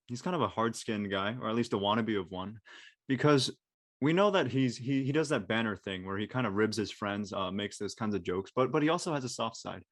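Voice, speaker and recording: A man's voice: on the low side, a bit bright, dry recording, studio quality. A man, an actor on a live show, casually describing his character, doubling back and correcting himself as he goes. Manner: halting, repeats words and self-corrects.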